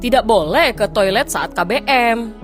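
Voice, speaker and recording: A woman performing a strict female teacher in an animated visual novel. She is curt and scolding, with a lively swing in pitch. Clear music plays behind her. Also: moderate pace, natural voice. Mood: stern, curt. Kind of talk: acted visual novel drama.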